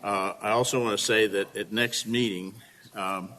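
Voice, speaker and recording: A man chairing a public committee meeting in a relaxed, folksy way. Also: on the low side, normal speed. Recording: long reverb in a meeting room, faint hiss.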